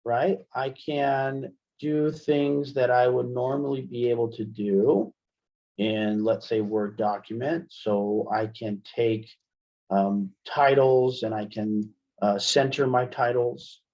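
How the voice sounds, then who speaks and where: A man giving a casual software demonstration as an instructor, conversational and thinking aloud as he goes. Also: medium pitch, fairly even intonation, slow. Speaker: instructor, webinar presenter. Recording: dull, band-limited webinar audio.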